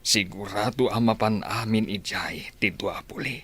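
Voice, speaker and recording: A man acts out an affable old Ilocano grandfather in a radio play. His voice is low, husky and breathy, and he speaks slowly with an eager, friendly manner. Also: fairly bright tone. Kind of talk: Ilocano radio drama acting.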